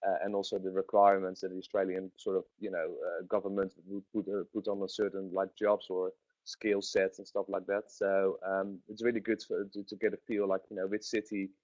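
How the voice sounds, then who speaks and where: A man's voice: deep voice, flat delivery, fairly quick, a little mellow, no room sound, dull audio. A man, a webinar guest recalling travel with lots of fillers and restarts. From Netherlands. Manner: rambling, many fillers and restarts. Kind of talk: webinar interview.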